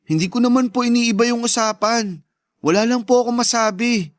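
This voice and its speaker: A man's voice: very high-pitched, a little fast, no room sound, clean studio sound. Man acting a meek, timid young son. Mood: defensive. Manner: polite, timid, defensive. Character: meek, shy, tall young son, apologetic to his mother. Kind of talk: Tagalog radio drama acting.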